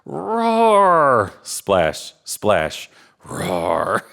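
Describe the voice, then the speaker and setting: A man's voice: fairly low pitch, wildly swooping pitch, extremely slow, vocal fry, heavily acted. A man voicing a stubborn, boastful little dinosaur who roars again and again in a children's picture book. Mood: roaring, triumphant. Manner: roaring loudly and repeatedly.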